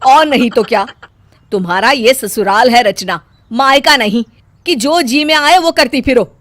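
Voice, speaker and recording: A woman voicing a strict, scolding middle-aged mother-in-law in a cartoon story, speaking loudly and bossily. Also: fairly high pitch, moderate pace, background noise, natural voice. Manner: loud, scolding, bossy.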